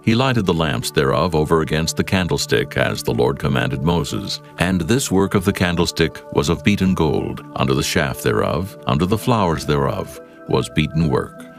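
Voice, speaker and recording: Very deep, gravelly male scripture narrator. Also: animated. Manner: measured, formal. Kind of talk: solemn scripted Bible narration. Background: music.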